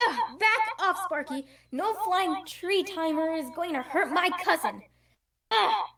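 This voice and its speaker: A woman's voice: very high voice, lively intonation, unhurried, a bit bright, exaggerated voice. A woman playing a sassy, feisty young girl in an amateur cartoon table read. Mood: defiant. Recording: distant mic, voices in background.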